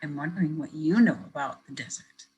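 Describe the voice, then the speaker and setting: A woman educator reading a picture book aloud to children, pausing to ask them questions in a gentle, expressive way. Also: low voice, husky. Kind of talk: children's read-aloud with teacher commentary.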